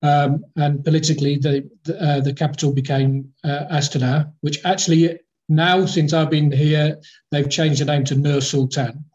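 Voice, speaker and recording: Guy, a bit high-pitched, ex club exec chatting on a muffled call, lots of uhs and restarts. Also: flat delivery, normal speed, a little mellow. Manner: rambling, hesitant, many fillers and restarts. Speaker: former football club chief executive, guest. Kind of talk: casual podcast interview. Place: in the capital of Kazakhstan.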